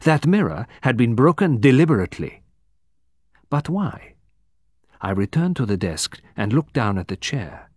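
A man playing a composed, formal detective from abroad, speaking deliberately with dramatic emphasis in an audiobook. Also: medium pitch, big pitch swings, a little mellow, dry recording, clean studio sound, pitched above the performer's own voice. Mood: explaining, dramatic. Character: composed, precise foreign male detective, quietly theatrical.

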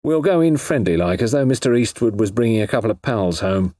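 A man voicing a polite, shrewd police inspector in an audiobook, genial and quietly amused, with a deferential, matey manner. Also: on the low side, fairly quick, no echo, clean studio sound, voice pushed up, close to an everyday voice. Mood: friendly. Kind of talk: audiobook character voice.

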